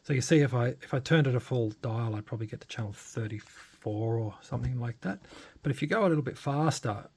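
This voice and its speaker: A man narrating a casual, unscripted hands-on demo, informal and halting as he thinks aloud. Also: medium pitch, a little mellow, slight background noise. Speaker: hobbyist radio modifier presenting a demo.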